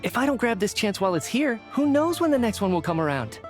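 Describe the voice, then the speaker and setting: A busy, polite theater fan from a video game, with a very animated delivery. He sounds earnest and a bit flustered, chatty and eager, over faint music. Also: high-pitched, fast talker. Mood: earnest, admiring. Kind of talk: video game character dialogue.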